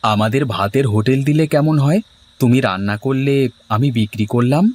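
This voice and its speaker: A man's voice: mid-range voice, normal speed, darkish tone, lightly acted. A man voicing a mild, hardworking village father and farmer in a moral-story cartoon. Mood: thoughtful. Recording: music playing under the voice.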